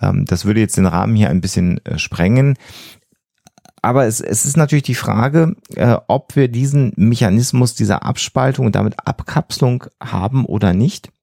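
A man explaining psychology on a podcast in a measured way, hesitating as he searches for precise wording. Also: fairly low pitch, vocal fry, dark tone, no room sound, clean studio sound.

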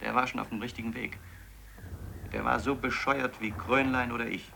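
A man acting an eccentric, obsessed scientist who thinks aloud in a reflective, halting, explanatory way in a radio drama. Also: medium pitch, steady tone, gravelly, bright, forceful tone, slight background noise. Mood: quiet, determined. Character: obsessed eccentric male scientist, musing, earnest, self-doubting. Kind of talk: radio drama monologue in letter form.

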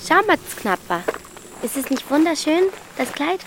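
A woman's voice: high-pitched, sing-song, normal speed, noisy background. A woman playing a bold, defiant thirteen-year-old village girl, blunt and impatient, in a radio drama.

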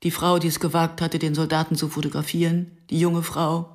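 A woman playing a sober, questioning film director who narrates her memories in a measured, observant way for a radio drama. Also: low-pitched, flat delivery, lightly acted. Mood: sombre. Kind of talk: literary first-person radio drama narration.

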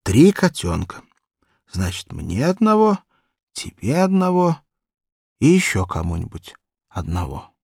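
A man's voice: mid-range voice, wildly swooping pitch, unhurried, vocal fry, pauses often, a little mellow, slightly rough, no echo, studio quality, full character voice. A man voicing an eager, bossy little boy in a children's storybook reading. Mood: counting eagerly.

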